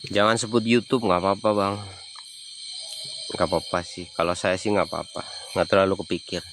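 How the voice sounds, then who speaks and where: Low-voiced Javanese man chatting on a night stream. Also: fairly even intonation, normal speed, fairly bright tone, slight background noise. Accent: Javanese (Banyumas). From Banyumas, Central Java. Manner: chatty, answers viewer comments. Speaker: livestreaming explorer. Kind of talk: casual livestream chat.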